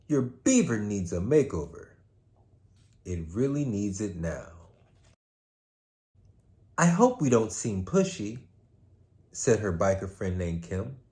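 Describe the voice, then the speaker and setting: A man hosting a story time, with a laid-back, slangy manner, moving between casual chat and expressive read-aloud. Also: mid-range voice. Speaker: host reading a story aloud.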